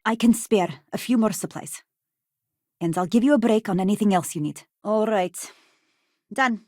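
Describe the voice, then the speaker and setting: A woman's voice: mid-range voice, moderate pace, darkish tone, dry recording, studio quality, lightly acted. An actress playing a brusque, weary female space station doctor in a video game, curt and sardonic. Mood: businesslike.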